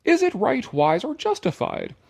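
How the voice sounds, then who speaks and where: A man's voice: very high voice, huge pitch swings, normal speed, creaky, breathy edge. A man reading an article aloud with casual, sarcastic commentary, blunt and dismissive, prone to swearing. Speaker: online commentator.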